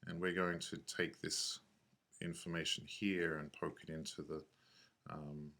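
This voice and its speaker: A man giving an unscripted software tutorial, casual and halting, thinking aloud and correcting himself as he goes. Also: extremely low pitch, flat delivery. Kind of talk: unscripted screencast tutorial narration.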